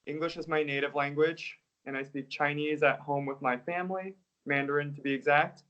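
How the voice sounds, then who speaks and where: A man's voice: fairly high pitch, a little fast. Laid-back young man, muffled audio. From United States. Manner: relaxed, hedging with "like" and "I guess". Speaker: interview guest, English teacher. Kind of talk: casual interview answers.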